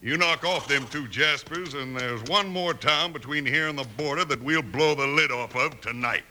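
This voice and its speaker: An actor playing a cocky, scheming outlaw gang leader, smug and commanding, in a 1950s western radio drama. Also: male voice, medium pitch, moderate pace, pushed and bright. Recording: faintly noisy old radio recording.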